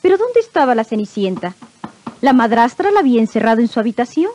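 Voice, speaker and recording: A woman's voice: fairly high pitch, very animated, fast, darkish tone, slight background noise. A woman reading a scripted children's storybook as narrator, on a vintage record with music.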